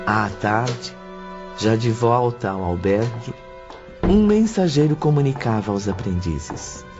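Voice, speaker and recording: A man's voice: medium pitch, lively intonation, slow, muffled recording, music in the background. A man reading scripted audiobook narration in a solemn, literary manner.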